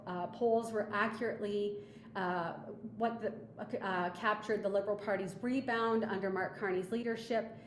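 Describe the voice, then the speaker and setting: A woman presenting analysis as a webinar speaker, in a measured, explanatory and somewhat hesitant way. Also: mid-range voice, fairly even intonation. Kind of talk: slide presentation of polling analysis. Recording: echoey room with faint background noise.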